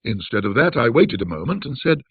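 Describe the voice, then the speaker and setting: A man with a husky, creaky voice narrates a classic ghost story. His pitch moves widely and theatrically, with measured phrasing and dramatic pauses. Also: sing-song, no echo, phone-quality sound.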